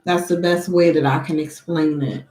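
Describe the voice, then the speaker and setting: A woman giving a tarot reading who talks slowly and deliberately, sniffling from allergies, and calls on spirits in a measured, invocation-like way. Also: deep voice, steady tone, roomy sound, soft background music. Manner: slow, soft and deliberate, with an invocation-like cadence. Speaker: tarot card reader.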